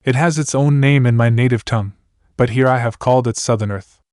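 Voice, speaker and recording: A man voicing a reserved young male wanderer who speaks in a measured, formal way. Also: mid-range voice, a little mellow, dry recording, studio quality, close to an everyday voice. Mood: calm.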